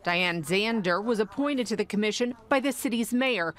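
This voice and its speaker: A woman reporter reading a scripted news voice-over with a measured, even delivery. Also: medium pitch.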